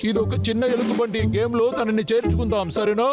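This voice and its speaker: A man's voice: high voice, level tone, brisk, loud music behind the voice, lightly acted. A man voicing an earnest, protective cartoon bear leader who speaks in a kind, pleading way. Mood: friendly, concerned. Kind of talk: exaggerated cartoon dubbing.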